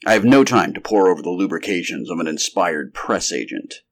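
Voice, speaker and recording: A man's voice: sits low, animated, fairly quick. A man voicing a brusque, skeptical male scientist in a story, with emphatic, wordy, learned phrasing. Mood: scornful. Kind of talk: acted story dialogue.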